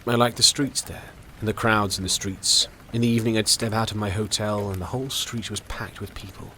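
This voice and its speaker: Casting: seasoned physician for radio play, low register, measured, sardonic, faint ambient noise. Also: male voice, steady tone, pushed and bright, low-quality recording. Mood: wistful, musing. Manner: measured, dry, reasoning. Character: worldly, unsentimental older doctor, dryly sardonic. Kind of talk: audio drama acting.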